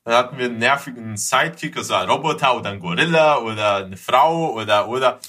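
A man's voice: mid-range voice, fast talker, pushed and bright. A man chatting casually, enthusiastic and slangy in the way he talks. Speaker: podcast guest or co-host. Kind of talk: casual chat about childhood cartoons.

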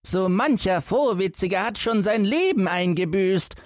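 A man's voice: high voice, animated, unhurried, no room sound, clean studio sound, pitched above the performer's own voice. A man voicing a pitying innkeeper's wife in a fairy tale, admonishing and lamenting. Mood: worried pity. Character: pitying innkeeper's wife, shushing her husband.

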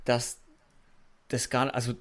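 A young man chatting casually as a podcast co-host, agreeable and quick to laugh. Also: mid-range voice, completely flat delivery, fairly bright tone. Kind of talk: casual chat.

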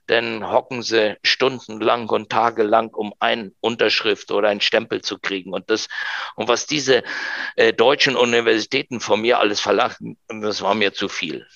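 Middle-aged male guest, gravelly and fairly low, reflective storyteller with fillers, dry dull recording. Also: fairly bright tone, dry recording. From Argentina. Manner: reflective, rambling with many fillers. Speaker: researcher guest. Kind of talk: podcast storytelling.

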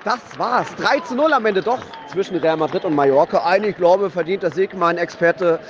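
A man's voice: high voice, normal speed. A man giving a casual, chatty football report on site from a stadium, informal and joking. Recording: dull recording amid stadium crowd noise. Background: crowd and outdoor noise.

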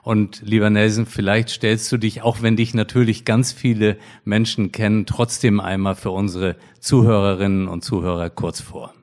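A middle-aged man hosts a podcast, his voice sitting very low. He reads his intro in a measured, formal way before turning to his guest. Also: level tone, darkish tone. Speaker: podcast host, hospital director. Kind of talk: scripted podcast intro, then interview.

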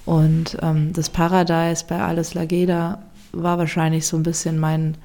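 A woman chef in a casual interview, speaking reflectively and often pausing to search for words. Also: deep voice, monotone, mellow. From Germany.

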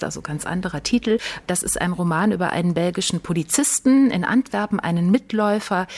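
A woman hosting a book podcast, with a voice on the low side for a woman. She asks her questions in a measured, precise way. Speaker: podcast host, journalist. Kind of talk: interview questions.

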